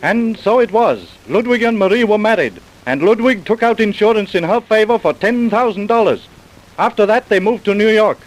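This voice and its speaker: A man's voice: sits high, animated, moderate pace, rough recording. A man narrating a crime drama as a solemn storyteller, in formal old-time radio style. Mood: solemn, storytelling. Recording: vintage radio broadcast recording.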